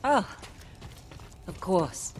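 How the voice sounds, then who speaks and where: A woman's voice: extremely slow, darkish tone, slight background noise. A woman acting a wary, loyal rogue companion in a video game, speaking plainly and directly. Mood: wary, businesslike.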